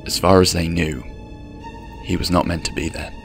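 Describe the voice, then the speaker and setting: Deep, gravelly man narrating horror. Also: flat delivery, moderate pace, faint music behind. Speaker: storyteller. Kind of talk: scripted first-person horror story narration.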